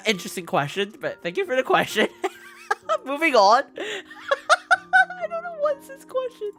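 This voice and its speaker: A woman streamer with a mixed English accent chatting casually as she answers viewer questions, giggly and halting, often repeating herself. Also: on the high side, fairly bright tone. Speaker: VTuber streamer host. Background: background music.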